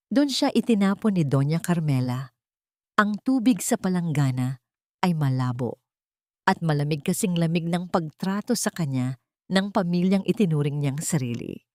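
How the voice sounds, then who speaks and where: A woman's voice: low voice, a little mellow, no echo, clean studio sound. A woman reading an emotional story as a dramatic scripted narration.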